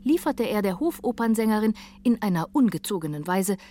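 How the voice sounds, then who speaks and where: A woman's voice: medium pitch, animated, a little fast, mellow, slight background noise. A woman reading scripted literary narration for a radio drama.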